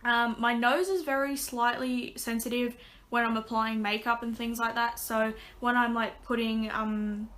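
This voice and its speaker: A young woman speaks into a desk webcam in a small bedroom, rambling through a casual update. Her voice sits a little high and sounds bright and forward, with a touch of rasp. She trails into ums and restarts her sentences often. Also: steady tone, measured pace. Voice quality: slightly raspy. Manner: chatty, many ums and restarts. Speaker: vlogger.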